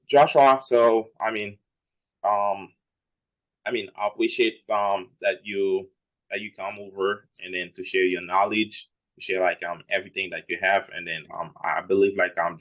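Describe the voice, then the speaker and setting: Male host, very low voice, halting delivery, lots of fillers, casual interview. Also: a bit bright, rough recording. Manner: hesitant, many "um" and "I mean" fillers.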